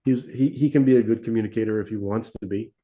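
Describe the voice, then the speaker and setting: A man speaking as a podcast guest, answering in a hesitant, measured way. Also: fairly low pitch, steady tone, dark tone. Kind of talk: casual conversation.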